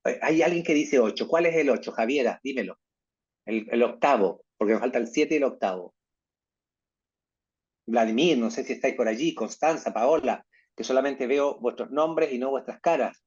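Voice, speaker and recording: A man's voice: medium pitch, moderate pace, a little mellow, slightly rough, highs cut off. A man giving an online lecture to teachers, constantly adding tag questions. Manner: peppers talk with tag questions.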